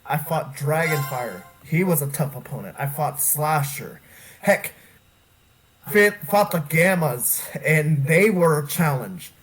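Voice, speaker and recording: A man commentates casually over a game in a fairly high voice. He talks slowly and haltingly, drawing out his reactions, with game music behind him. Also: lively intonation, a bit bright, poor audio. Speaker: gamer reacting to a playthrough.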